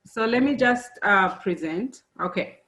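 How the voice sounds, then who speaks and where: A woman's voice: mid-range voice, lively intonation, a bit bright. Zambian-born woman speaking to students. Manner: friendly, unhurried, many fillers. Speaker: engineer giving a talk to students. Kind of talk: presentation to students.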